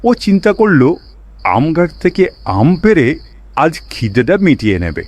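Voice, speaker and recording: A man narrates a cartoon story in an expressive storytelling style. His pitch swings widely through the sentences, and the recording is dry and close. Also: a little slow, no echo.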